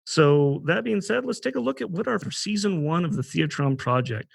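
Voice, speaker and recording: A man's voice: high voice, animated, moderate pace, vocal fry, breathy edge, no echo. A man hosting a podcast in a conversational introductory style, often thinking aloud and rephrasing as he goes. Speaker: podcast host.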